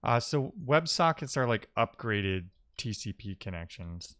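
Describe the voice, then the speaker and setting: Male tech streamer, lowish smooth voice, casual, mutters through problems with dry playful asides. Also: very animated, measured pace, fairly bright tone. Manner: muttering to himself while troubleshooting. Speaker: live coding streamer. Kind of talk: casual thinking-aloud tech commentary.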